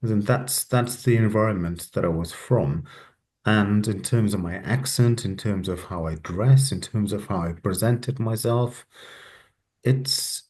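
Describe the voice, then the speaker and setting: A man's voice: fairly low pitch, unhurried, a little mellow. A man from West Wales with a Welsh accent, speaking earnestly as a webinar panelist. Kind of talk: casual panel discussion.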